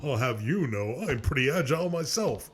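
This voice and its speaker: A man playing a vain, cowardly, excitable cartoon character with a theatrical, whiny and boastful delivery. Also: mid-range voice, moderate pace, breathy edge. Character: vain, cowardly, excitable man who insults his rival. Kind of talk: comedic cartoon voice acting.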